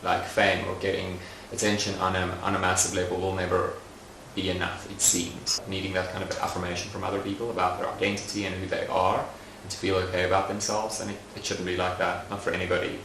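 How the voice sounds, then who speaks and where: A young man speaking in a documentary interview, thoughtful and soft-spoken with frequent pauses and fillers. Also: low voice, vocal fry, slight background noise. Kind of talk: reflective personal interview. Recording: roomy echoey recording.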